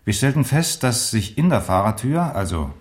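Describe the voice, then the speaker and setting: An actor playing a measured, methodical police major in a German radio crime drama, formal and deliberate. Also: male voice, mid-range voice, sing-song, natural voice. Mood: formal briefing. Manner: deliberate, formal, matter-of-fact.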